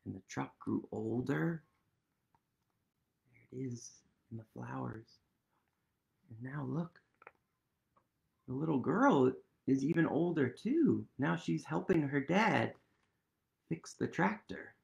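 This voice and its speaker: Man in his thirties, children's storytime reader, very lively intonation, creaky voice, gentle questioning manner. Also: medium pitch, highs cut off. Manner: gentle, expressive, pausing, questioning. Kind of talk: picture-book read-aloud with questions to young children.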